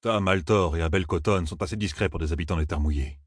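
A man's voice: deep voice, quick pace, no echo. A man voicing a stoic male warrior who speaks in a dry, assured manner.

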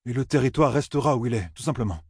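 A man's voice: medium pitch, expressive, fast, husky, no echo, studio quality, voice pushed up. A man voicing an earnest young blacksmith who gives orders firmly. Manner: firm, commanding.

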